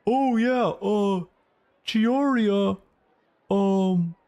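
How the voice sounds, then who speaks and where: Man doing a halting, high-voiced dim criminal. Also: a little mellow, clear, resonant tone, full character voice. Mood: awkward, unsure. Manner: halting, hesitant, hemming. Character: awkward, dim male prison criminal being interviewed.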